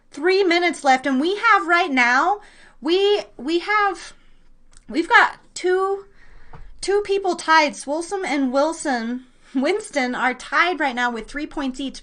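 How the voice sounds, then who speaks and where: A woman's voice: high-pitched, fairly bright tone. A woman hosting a casual live trivia stream, chatting informally and slangily with her listeners. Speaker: live show host running a trivia quiz.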